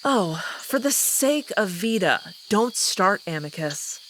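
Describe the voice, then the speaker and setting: A woman's voice: mid-range voice, very animated, slow pace, fairly bright tone, slight background noise. A woman playing a haughty, teasing female alien wolf noble in a visual novel, arch, composed and dismissive. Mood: exasperated.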